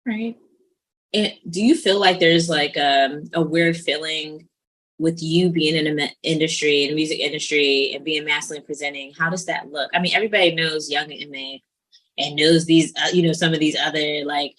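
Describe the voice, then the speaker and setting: A woman's voice: sits low, steady tone, moderate pace, a bit bright, low-quality recording. A woman in her late thirties hosting a casual podcast interview, chatty and self-deprecating, with lots of "um"s. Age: 30s. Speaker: podcast host.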